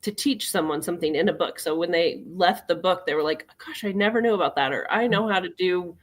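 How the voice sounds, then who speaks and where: A woman's voice: fairly low pitch, brisk. A woman from Colorado talking casually as an author and book club host. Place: live online video chat.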